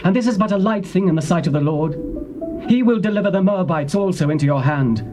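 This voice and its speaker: A commanding prophet who declaims each pronouncement with solemn weight. The voice sits high and carries over music in the background of this scripture dramatization. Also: male voice, lively intonation, quick pace. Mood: proclaiming. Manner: solemn, declamatory, proclaiming oracles. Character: stern commanding male prophet.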